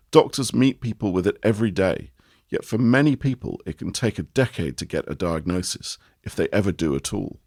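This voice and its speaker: A man giving a measured, expressive reading of a long article aloud. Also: deep voice, dry recording, studio quality. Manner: measured, expressive reading with lively rise and fall. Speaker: reader of an article. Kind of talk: read-aloud article narration.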